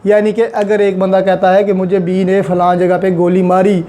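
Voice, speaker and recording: Mellow-voiced law lecturer. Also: male voice, high-pitched, monotone, normal speed. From Pakistan. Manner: patient, explains step by step with examples, mixes in English legal terms.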